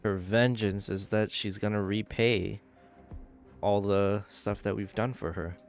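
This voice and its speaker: A man with a low-pitched voice talks casually through his gameplay as a video host. Game music plays clearly behind him. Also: fairly even intonation, unhurried.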